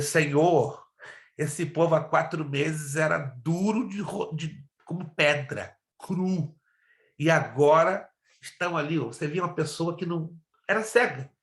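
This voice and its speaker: A man's voice: on the high side, a bit bright. A man from Brazil with Spanish as his native language and a Brazilian accent, teaching like a preacher, emphatic and exhorting, repeating phrases and putting questions to his listeners. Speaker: pastor teaching a theology class. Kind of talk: preacherly lesson with personal testimony. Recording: roomy, echoing sound.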